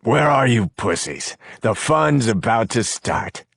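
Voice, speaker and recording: A man's voice: lowish voice, lively intonation, slow, creaky, a bit bright, husky, dry recording, studio quality, voice pushed up, full character voice. A man voicing a crude, scornful male gang leader who talks in a brash, mocking way.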